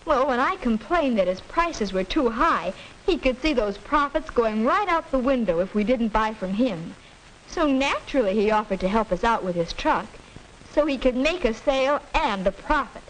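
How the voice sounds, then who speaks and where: A young American woman playing an earnest, argumentative high school girl, emphatic and didactic, in a 1940s educational film. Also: expressive, normal speed, close to an everyday voice, low-quality recording. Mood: insistent. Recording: hissy 1940s film soundtrack.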